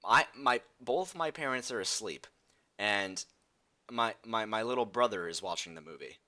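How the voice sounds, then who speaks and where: A young man telling a personal story casually, in an animated way, acting out bits of dialogue as he goes. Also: on the low side, normal speed. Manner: animated retelling, acts out dialogue. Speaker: storytelling video creator.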